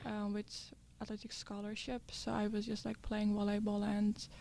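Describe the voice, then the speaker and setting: Flat-voiced young woman from Turkey. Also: medium pitch, gravelly. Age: young adult. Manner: halting, simple phrasing with fillers. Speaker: student athlete. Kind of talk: casual interview answers.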